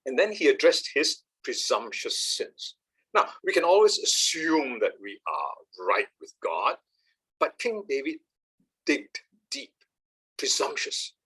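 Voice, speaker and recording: Older man, 70s, husky, lively pitch, emphatic talk. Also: takes it slowly, low-quality recording. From Malaysia. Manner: animated, emphatic, frequent "all right?" and "uh". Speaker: speaker on meditation. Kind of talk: talk with slides.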